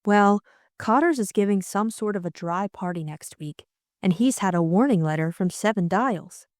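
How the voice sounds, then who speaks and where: A woman voicing a brisk, practical and forthright young society woman in an audiobook, blunt and exclamatory. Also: medium pitch, expressive, dry recording, clean studio sound, close to an everyday voice. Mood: excited.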